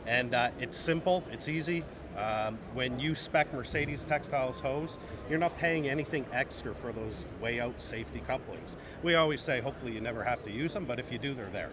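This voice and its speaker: Guy pitching his company in a casual interview, mid voice, repeats stuff for emphasis, noisy background. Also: brisk. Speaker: company representative, interview guest. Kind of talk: informal trade show interview. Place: trade show event. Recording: noisy busy venue background.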